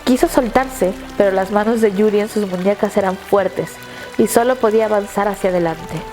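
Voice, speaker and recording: A woman narrator reading a scripted dramatic story in a measured, literary storytelling manner. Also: medium pitch, warm tone, soft background music.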